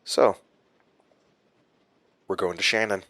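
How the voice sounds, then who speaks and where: Weary haunted hero in audio drama, lowish voice, halting n slow, very dry recording. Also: male voice, steady tone, a bit bright, no room sound, heavily acted. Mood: grim resolve. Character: haunted, defiant male hero facing his enemy.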